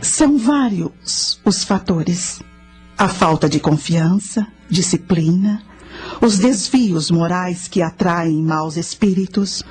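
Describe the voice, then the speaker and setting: Lady playing a knowing spirit guide in a radio play, husky, solemn and teacherly, soft music underneath. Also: fairly low pitch. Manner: measured, didactic, solemn. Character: knowing, solemn female spirit guide who recounts ancient history. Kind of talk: scripted radio drama narration.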